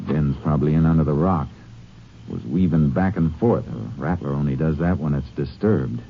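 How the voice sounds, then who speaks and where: An actor playing a calm, shrewd Texas Ranger who tracks outlaws and narrates, in a 1950s radio drama. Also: male voice, rumbling low, moderate pace, creaky, slight background noise, exaggerated voice. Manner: calm, clipped, matter-of-fact. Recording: dull old radio broadcast recording.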